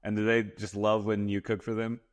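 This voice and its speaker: A man's voice: on the low side, completely flat delivery, fairly quick. A man hosting a podcast, asking curious questions in casual chat and laughing easily. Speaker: podcast host.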